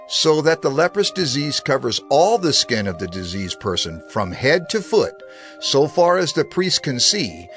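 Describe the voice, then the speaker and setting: A man's voice: medium pitch, a bit bright. Solemn man reading scripture over music. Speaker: Bible narrator.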